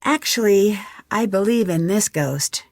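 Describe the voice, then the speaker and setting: A woman's voice: medium pitch, lively intonation, measured pace, darkish tone, no room sound. Young woman sleuth for a mystery game: mid-range, friendly, earnest, lightly wry, close dry studio sound. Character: young female detective, curious, friendly, level-headed. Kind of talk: conversational game dialogue, one side of phone calls.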